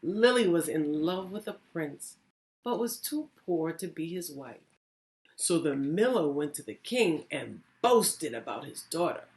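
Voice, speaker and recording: A woman hosting storytime, reading a children's story aloud with expressive, deliberate phrasing. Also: sits low, low-quality recording. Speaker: storytime host, reading teacher.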